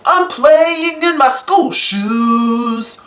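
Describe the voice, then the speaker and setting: A woman's voice: high voice, very slow, fairly bright tone, full character voice. A woman voicing a cheerful, easygoing young male cat from a children's storybook, chanting in a repetitive sing-song. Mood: carefree, upbeat. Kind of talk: children's storybook character singing. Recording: echoey, distant, with faint music.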